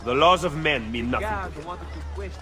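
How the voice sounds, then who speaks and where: An actor playing a shrewd Italian conspirator in a video game, speaking in a measured, ominous way with an Italian accent. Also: male voice, on the high side, lively intonation. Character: shrewd, solemn Italian conspirator advising an assassin. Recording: music behind the voice.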